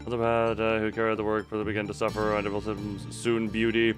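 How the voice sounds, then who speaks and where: A man's voice: fairly low pitch, monotone, a little fast, over music. A man giving casual livestream commentary on a game, rambling and breaking off his own sentences with wry asides. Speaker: video game streamer.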